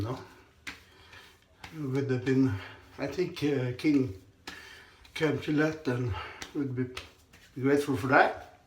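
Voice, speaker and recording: Hoarse man, forties, slow halting chat, throat clearing, echoey tiled bathroom, faint hiss. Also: medium pitch. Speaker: shaving vlogger. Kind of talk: casual chat while shaving. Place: small tiled bathroom, indoors. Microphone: camera on the counter at arm's length.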